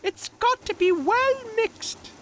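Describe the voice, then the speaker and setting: A man voicing an excitable, helpful little talking vehicle in a children's story, with an instructive, emphatic manner. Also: very high-pitched, slow pace, a little hiss or hum, pitched above the performer's own voice, full character voice. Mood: explaining.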